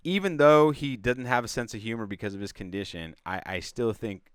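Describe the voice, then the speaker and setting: A man co-hosting a film review, talking casually in hesitant, halting phrases. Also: medium pitch, smooth, no echo, clean studio sound. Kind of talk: casual chat.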